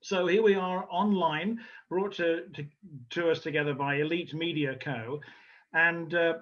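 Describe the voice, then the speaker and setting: A man hosting an online event informally and haltingly, speaking over a video call. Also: a little high, steady tone. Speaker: host and organiser of a pageant. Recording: muffled lo-fi video call audio.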